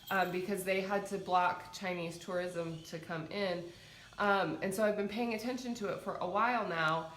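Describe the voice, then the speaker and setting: A woman with a stuffy head cold who hosts as a psychic and talks in a gentle, soothing way. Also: medium pitch, roomy sound, slight background noise. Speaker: psychic and meditation host. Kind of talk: casual livestream talk.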